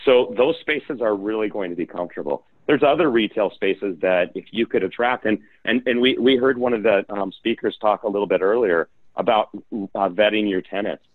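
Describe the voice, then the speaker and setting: A man with a low voice, speaking on a video call. His audio comes through thin and phone-like. He talks casually and often restarts his sentences. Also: normal speed, few pauses. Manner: conversational, restarts mid-sentence. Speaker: real estate investor and developer guest. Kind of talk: panel discussion on a video call.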